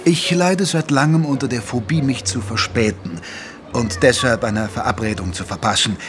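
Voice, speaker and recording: An actor playing a retired old army doctor who narrates a radio play in a measured, genteel, reminiscing way. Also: male voice, medium pitch, music in the background, natural voice. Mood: reflective. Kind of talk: scripted first-person radio play narration in German.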